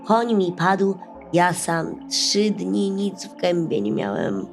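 A woman's voice: lowish voice, sing-song, slow, vocal fry, heavily acted. A woman voicing a young, earnest and weary fairy-tale prince. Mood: weary, pleading. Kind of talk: bedtime fairy-tale audio play. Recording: music under the voice.

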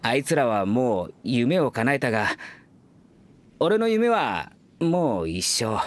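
A man's voice: medium pitch, big pitch swings, slow pace. A man playing a wistful, humble working man in a Japanese anime game, speaking earnestly and expressively. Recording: studio voice over background music.

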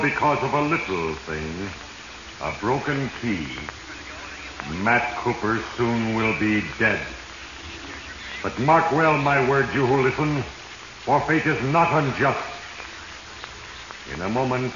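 An actor playing sinister, all-knowing Fate, narrating solemnly and portentously in a 1940s radio drama. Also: male voice, mid-range voice, slow, long pauses, lightly acted. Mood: solemn, ominous. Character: sinister, all-knowing Fate reading his diary of mortals. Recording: echoey, muffled, noisy old radio.

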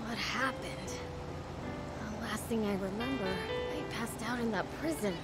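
A woman's voice: medium pitch, a little slow, loud music behind the voice, natural voice. A woman voicing a cocky, blunt young male demon prince in a video game, with a boyish voice. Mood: grumbling.

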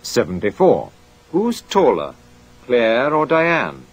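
A man reading a scripted language course drill in a clear, measured way. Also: mid-range voice, wildly swooping pitch, slight background noise.

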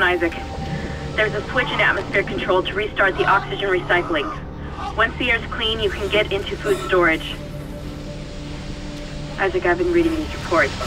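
A woman's voice: on the low side, natural voice, poor audio. A woman playing a tense, uneasy crew member who guides a teammate in a video game.

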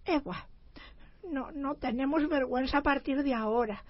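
Woman acting a high-voiced, fussy immigrant wife. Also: moderate pace, no room sound, lo-fi sound, lightly acted. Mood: scandalized, hesitant. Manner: exclamatory, fussy. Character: Galician immigrant wife, scandalized yet tempted. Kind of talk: comedic radio theatre acting.

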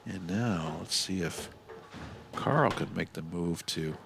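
A man doing live bowling commentary, giving measured analysis with excited calls on shots. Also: deep voice, vocal fry, background noise. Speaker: sports commentator.

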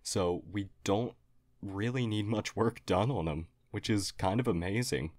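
Man playing a low, slow, polite alien. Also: a little mellow, no room sound, clean studio sound. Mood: earnest, apologetic. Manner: halting, formal, apologetic. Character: polite, apologetic humanoid alien geologist, earnest and nerdy. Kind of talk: audio roleplay acting addressed to listener.

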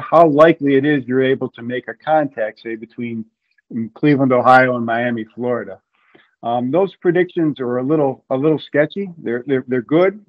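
Phone-like video call, middle-aged man explaining. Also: medium pitch. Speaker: interview guest. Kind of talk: informal explanation. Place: room at home. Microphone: video call, earbuds.

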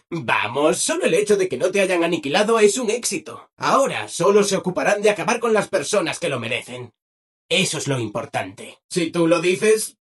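A man's voice: high voice, husky, exaggerated voice. A male actor with a Castilian Spanish accent playing a relentlessly cheerful, over-obliging robot assistant in a video game, chirpy and eager to please. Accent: Castilian Spanish from Spain. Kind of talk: animated video game character acting.

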